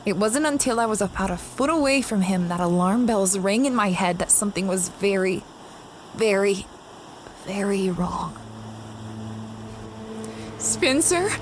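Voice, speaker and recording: A woman's voice: mid-range voice, animated, measured pace, pauses often, background noise, lightly acted. A woman playing a space explorer who recounts a strange expedition in a conversational, first-person audio drama style. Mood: anxious calling. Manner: reflective, conversational storytelling.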